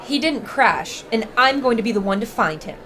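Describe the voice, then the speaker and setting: An intrepid 1920s newswoman proclaims in a high, plucky voice in classic radio drama style. She sounds determined and eager. A clear bed of ambient noise sits behind her. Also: animated, moderate pace, a bit bright, a faint crowd. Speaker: actor in audio drama. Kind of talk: old-time radio drama acting.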